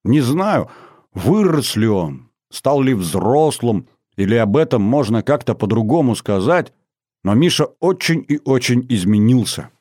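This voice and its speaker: Audiobook role: proud, nervous little sister in her early teens, sincere, raised pitch performed by a man. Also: sing-song, measured pace, darkish tone, no echo, studio quality. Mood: earnest, proud. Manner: earnest, nervous, heartfelt. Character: excited young teenage girl, earnest proud little sister. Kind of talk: audiobook character voice.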